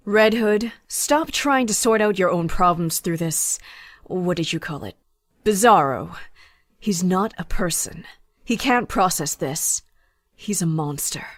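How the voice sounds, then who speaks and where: A woman's voice: on the low side, expressive, unhurried, no echo, studio quality. An actress playing a blunt, dismissive warrior woman in a motion comic.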